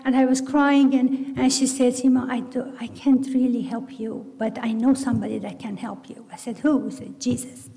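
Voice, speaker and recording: A woman's voice: a little high, fairly even intonation, creaky, dark tone. Persian-accented woman with a long hall echo. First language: Farsi. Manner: earnest, halting storytelling with many restarts. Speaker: testimony speaker. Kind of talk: personal faith testimony.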